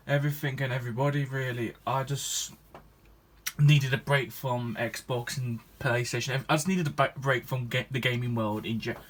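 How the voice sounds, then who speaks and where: A man's voice: medium pitch, fairly bright tone. A 21-year-old man, a gaming YouTuber chatting to his viewers in a rambling, hesitant way, full of restarts and ums. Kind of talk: casual vlog chat to viewers.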